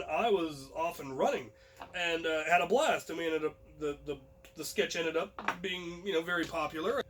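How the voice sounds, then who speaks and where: A young man hosting a vlog, telling a personal story casually to his viewers in an animated way. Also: high voice, poor audio. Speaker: host and storyteller.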